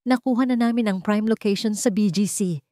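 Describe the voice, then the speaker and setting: A woman's voice: medium pitch, fairly quick, warm tone, no echo, clean studio sound, pitched above the performer's own voice, close to an everyday voice. A woman voicing a boastful, self-important young businessman in an audio drama. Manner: boastful, switches between languages.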